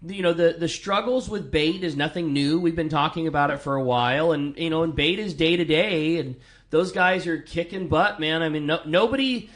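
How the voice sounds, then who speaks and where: A man in his thirties with a loud, powerful voice, co-hosting a fishing radio show and telling stories in a laughing, upbeat way. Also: a little high, normal speed. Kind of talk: casual radio chat.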